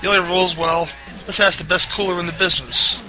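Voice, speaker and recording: A man's voice: high voice, steady tone, fast talker, pushed and bright, poor audio. A man reading a brash, scripted podcast promo. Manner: brash announcer delivery. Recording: music bed under the voice.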